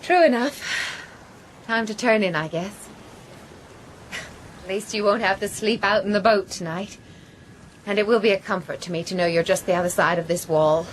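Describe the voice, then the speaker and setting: An actress playing an intuitive, steadfast castaway woman in a radio drama, with an earnest and measured delivery. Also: medium pitch, a little hiss or hum, close to an everyday voice, poor audio. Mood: gentle.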